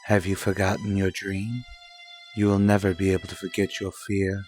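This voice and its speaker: An ominous male narrator for a melodramatic radio serial, played by an actor. His low voice stays very level and portentous, with music playing faintly underneath. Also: level tone, normal speed, darkish tone, close to an everyday voice. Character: ominous male narrator taunting a fearful heroine.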